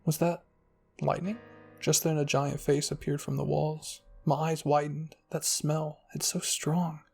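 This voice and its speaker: A man's voice: on the high side, little pitch movement, a little slow, gravelly, a little mellow, husky, soft background music. A man reading a fan fiction story aloud as a narrated ASMR roleplay.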